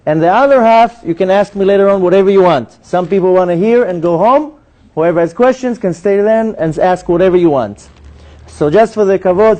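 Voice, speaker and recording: A man in his forties from Israel, telling his life story to an audience in a synagogue hall and acting out the voices in it. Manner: animated storyteller who acts out dialogue in a higher voice. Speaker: speaker giving a talk.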